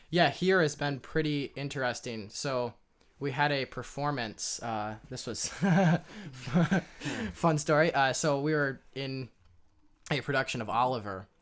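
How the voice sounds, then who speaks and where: Smooth-voiced easygoing male host. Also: medium pitch, lively intonation, slow, a bit bright. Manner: easygoing, laughs often, hesitant with fillers. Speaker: podcast host. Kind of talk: casual conversation.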